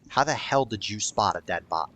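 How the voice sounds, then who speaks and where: A man's voice: fairly low pitch, lively intonation, normal speed, fairly bright tone, slight background noise. A man rambling through casual gameplay commentary, swearing and sounding wryly exasperated as he streams. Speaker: video game streamer.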